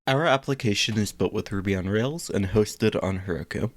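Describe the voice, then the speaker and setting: Low, dry-recorded technical presenter, male. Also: moderate pace, dry recording. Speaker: project presenter.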